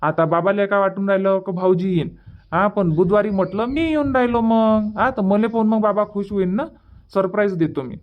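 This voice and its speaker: A man's voice: high voice, moderate pace, muffled recording, natural voice. A man voicing an easygoing young village son in a cartoon comedy, chatty and colloquial, with a rural Vidarbha Marathi accent. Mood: reassuring, cheerful.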